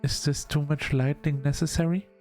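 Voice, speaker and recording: A man's voice: on the high side, little pitch movement, normal speed, dark tone, soft background music, pitched above the performer's own voice, natural voice. A man voicing a shy, curious sleep paralysis demon in a video game, with hesitant, childlike phrasing. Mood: curious, intrigued.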